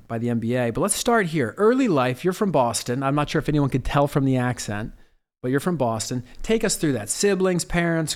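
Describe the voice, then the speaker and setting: Male podcast host, eager and admiring, loose casual delivery. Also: medium pitch. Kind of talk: casual interview chat.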